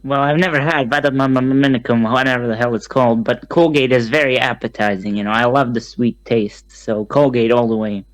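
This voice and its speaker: A man playing along as a joke guest on a comedy podcast, answering ridiculous questions calmly and matter-of-factly. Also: mid-range voice, normal speed, hardly any pauses, dry recording, rough recording. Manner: calm, matter-of-fact about absurd claims. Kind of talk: improvised comedy chat.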